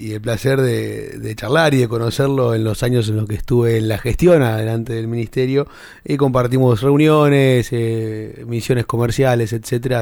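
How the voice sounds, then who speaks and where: A man's voice: mid-range voice, a little mellow, dry recording. Man, Argentine Spanish accent, radio host, mid-range voice, dry close sound. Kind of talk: radio interview.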